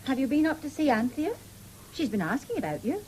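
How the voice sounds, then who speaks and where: A firm, practical countrywoman in an old British radio play. Her highish voice rises and falls freely, homely and no-nonsense, on a noisy old broadcast recording. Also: very animated, fairly quick, a little mellow. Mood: doubtful. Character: practical, cautious farming mother, plain-spoken and firm. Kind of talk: 1970s British radio drama acting.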